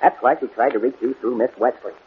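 A man's voice: mid-range voice, fairly even intonation, fast, close to an everyday voice. Male actor, defensive suspect man, earnest, insistent, anxious, vintage radio melodrama, muffled and slightly noisy sound. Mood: pleading, insistent. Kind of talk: 1940s radio drama acting.

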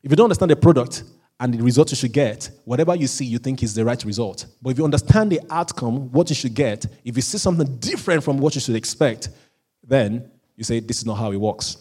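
A pastor preaching a sermon, often repeating and restarting phrases, and chuckling at himself now and then. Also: male voice, mid-range voice, animated, husky. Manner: rises into louder, slower emphatic reading of scripture.

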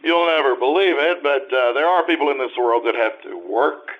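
An actor playing an awkward, wry writer of about thirty in an old-time radio play, hesitant and halting. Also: male voice, medium pitch, lively intonation, lightly acted. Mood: sheepish. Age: 30s. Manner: hesitant, halting, bashful drawn-out delivery. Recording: dull lo-fi radio-play recording.

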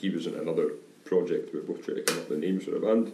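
A man who sings and writes songs in a band, telling its story at a steady pace in an interview. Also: deep voice, rapid-fire, slight background noise. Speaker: singer and songwriter. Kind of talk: casual interview chat. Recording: echoey room, away from the mic.

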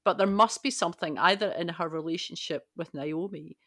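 A woman's voice: lowish voice, fairly quick, a bit bright, no room sound, clean studio sound. A woman in a conversational Bible discussion, thinking aloud emphatically and posing rhetorical questions. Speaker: podcast panellist.

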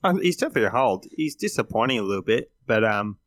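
A man's voice: fairly low pitch, very animated, quick pace, fairly bright tone, no echo, clean studio sound. A man with an Australian accent talking casually as a panellist on a fantasy sports podcast.

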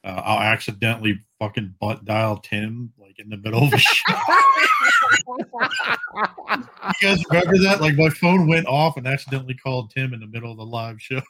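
An American man talking as a guest on a podcast, relaxed and casual, cursing freely. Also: mid-range voice, normal speed, a little hiss or hum, poor audio. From United States. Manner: laid-back, swears freely. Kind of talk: casual chat.

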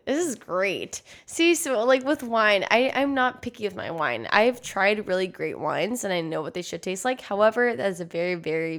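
A woman's voice: medium pitch, fairly bright tone. A woman in her twenties with an American accent, co-hosting a podcast in casual chat with a chatty, jokey, exclamatory way of talking. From Texas, United States. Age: 20s. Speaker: podcast co-host.

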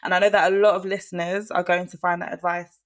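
A woman's voice: on the low side, flat delivery, quick pace. A woman hosting a podcast who thanks her guest and asks questions, with frequent fillers and affirming murmurs. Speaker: podcast host. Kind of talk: interview hosting.

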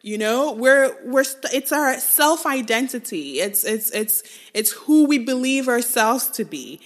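A young woman from West Africa talking alone about her life, halting and thoughtful, often restarting her phrases. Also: a little high, animated. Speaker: podcast host. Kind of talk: reflective personal monologue.

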